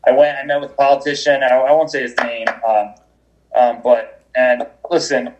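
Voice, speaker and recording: A man's voice: mid-range voice, level tone, normal speed, rough recording. A man talking as a podcast guest over a call, rambling with many restarts and fillers. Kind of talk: energetic conversational interview. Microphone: remote call.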